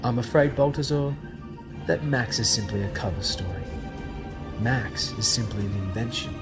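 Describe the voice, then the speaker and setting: An actor playing an earnest time-travelling professor in an audio drama, with a theatrical, emphatic delivery. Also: male voice, mid-range voice, moderate pace, lightly acted. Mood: defiant. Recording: music behind the voice.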